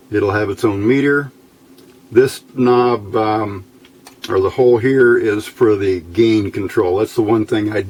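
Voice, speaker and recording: A man's voice: on the low side, a little mellow. A man giving a casual, unscripted hands-on demonstration, explaining step by step in a plain, folksy way. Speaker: hobbyist presenter demonstrating electronics test equipment.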